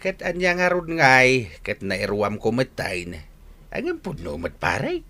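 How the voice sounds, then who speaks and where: A man's voice: medium pitch, huge pitch swings, slow pace, bright, forceful tone, slight background noise, heavily acted. A man acting in a radio drama as a repentant, earnest older father who likes his drink, with an Ilocano accent.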